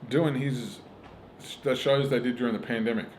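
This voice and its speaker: A man's voice: medium pitch, moderate pace, a little mellow, a little hiss or hum. A middle-aged Australian man, a stand-up comedian, chatting casually and swearing freely as he tells emphatic stories. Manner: profane, emphatic storytelling with acted-out quotes. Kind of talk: casual chat.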